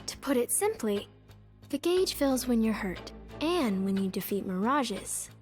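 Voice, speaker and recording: An actress playing a composed otherworldly guide in a video game, explaining things in a measured, instructive way. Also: female voice, fairly high pitch, big pitch swings, a little mellow, soft background music. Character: otherworldly woman guide who explains summoning rules, composed. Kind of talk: video game cutscene acting.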